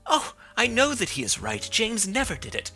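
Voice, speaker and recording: Earnest young woman pleading in a high gravelly voice, a guy putting her on, bright edge. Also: sing-song, normal speed, faint music behind, heavily acted. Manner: raised, pleading voice.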